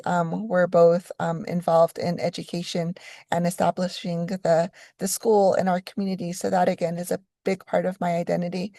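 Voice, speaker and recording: A middle-aged woman from New Mexico giving a conference keynote as a professor, speaking in an unhurried, reflective way with frequent ums and restarts. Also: lowish voice, a little mellow, no echo, clean studio sound.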